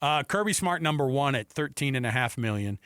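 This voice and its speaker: A man hosts sports radio in a casual, bantering way. His mid-pitched voice moves around a lot as he teases and jokes. The sound is close and dry. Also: expressive, a little fast, a bit bright, smooth, no echo. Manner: playful, teasing.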